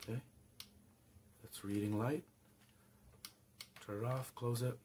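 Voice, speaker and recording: A man's voice: medium pitch, fairly even intonation, takes it slowly, a little mellow, slight background noise. A man casually showing off a radio at home, thinking aloud with small hesitations. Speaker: product reviewer. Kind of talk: casual product demo.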